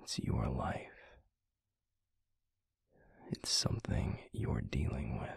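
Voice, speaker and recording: A man playing a tender, protective werewolf boyfriend in an intimate ASMR roleplay, speaking in a breathy, gravelly, soothing whisper. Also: dry recording, studio quality. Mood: tender, reassuring. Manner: soothing, slow, gently coaxing. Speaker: audio roleplay voice actor.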